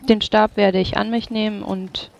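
A game master narrating and speaking in a formal, measured way as a stern official in a tabletop role-playing game. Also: mid-range voice, level tone, vocal fry. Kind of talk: tabletop role-play narration and in-character dialogue.

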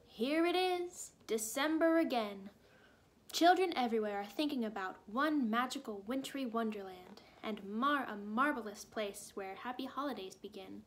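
A woman voicing a tall, distinguished, kindly snowman mayor in a storybook, with a booming, oratorical delivery. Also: animated, moderate pace, close to an everyday voice. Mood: stately, welcoming.

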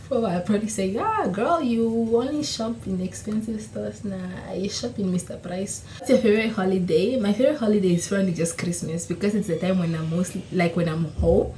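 A woman's voice: mid-range voice, a little fast, mellow. A young woman from Namibia, whose first language is Oshiwambo, answering questions in a casual, chatty vlog, laughing and correcting herself. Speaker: YouTuber. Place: small room at home, indoors. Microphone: camera in front, seated. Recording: echoey room with faint hiss.

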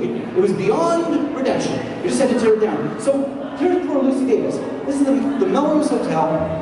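Male lecturer, high voice, wry and animated; distant mic in a reverberant hall. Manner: animated, sardonic, rambling asides. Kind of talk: public lecture. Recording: echoey hall, distant mic, loud noise.

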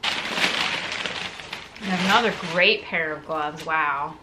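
A woman's voice: sits low, very slow, noisy background. A woman in her thirties talking casually to camera as she hosts an unboxing vlog, musing aloud in a conversational way. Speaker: content creator, video host. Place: living room, indoors.

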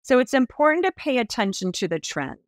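A woman in her fifties, a stylist being interviewed on a podcast over a video call, telling stories with lively enthusiasm. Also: fairly high pitch, a little fast, no room sound, clean studio sound. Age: 50s. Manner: animated, enthusiastic storytelling with expressive hand gestures. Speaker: guest stylist. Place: small indoor room.

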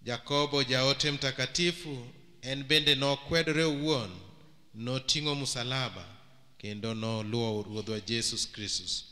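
A middle-aged Kenyan man, a priest, giving a homily at Mass into a stand microphone, preaching slowly and deliberately. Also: mid-range voice, steady tone, bright, forceful tone. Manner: slow, measured preaching with long pauses, switching into Luo. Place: large church hall. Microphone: stand microphone and handheld mic. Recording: church hall with long reverb.

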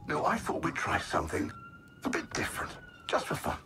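A man's voice: high voice, huge pitch swings, fairly quick, background noise. A man voicing an arrogant alien supervillain mastermind in a video game, cold, theatrical, formal and taunting. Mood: taunting menace. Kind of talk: video game villain acting. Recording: loud game music and effects.

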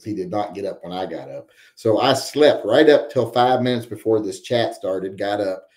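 A man's voice: on the low side, animated, normal speed, darkish tone. Wry, rambling man in an echoey room. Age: 40s. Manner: rambling, wry joking asides. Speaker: podcast host reading viewer chat. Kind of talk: casual live-stream chat. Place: home room with guns on the wall.

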